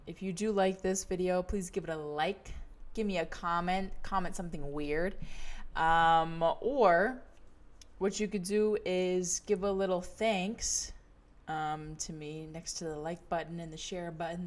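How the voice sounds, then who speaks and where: Slow, chatty young woman vlogger. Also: fairly low pitch. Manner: rambling, many fillers and laughs, relaxed storytelling. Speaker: livestream travel vlogger host. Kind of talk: casual chatty livestream monologue.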